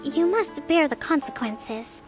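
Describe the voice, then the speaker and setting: An actress playing a poised, duty-bound young priestess in a video game, speaking formally and with measured composure. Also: female voice, high voice, lively intonation, over music. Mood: stern, formal. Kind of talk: scripted game voice acting.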